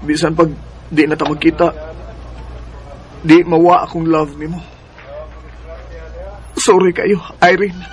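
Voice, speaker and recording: A man's voice: on the high side, little pitch movement, a little slow, long pauses, natural voice, rough recording. A man with a Cebuano accent playing a hesitant, apologetic young sweetheart in a radio drama. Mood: sorrowful. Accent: Cebuano Filipino. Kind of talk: Bisaya radio drama acting.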